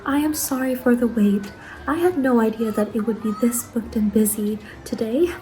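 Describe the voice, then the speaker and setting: A woman playing an eager, apologetic young saleswoman in an ASMR roleplay, speaking softly, politely and a little hesitantly. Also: on the high side, moderate pace, music in the background, close to an everyday voice. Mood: apologetic, welcoming. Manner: soft-spoken, hesitant, polite customer-service talk. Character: Angeline, eager apologetic young saleswoman at macabre pumpkin stall.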